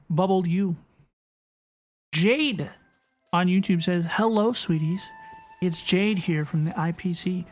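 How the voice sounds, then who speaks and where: A woman hosting a gaming livestream, chatting casually and often repeating phrases for emphasis. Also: lowish voice. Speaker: livestream host. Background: game music.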